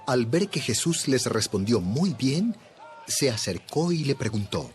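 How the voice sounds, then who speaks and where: A man's voice: medium pitch, very animated, a little slow. A man narrating a dramatized audio Bible as scripted storytelling. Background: music.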